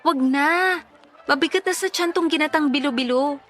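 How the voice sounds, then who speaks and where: Bright, high-voiced teasing sweetheart. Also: female voice, normal speed. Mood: fond teasing. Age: young adult. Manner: pert, pressing, teasing. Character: teasing, sensible young college sweetheart. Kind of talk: Tagalog radio drama acting.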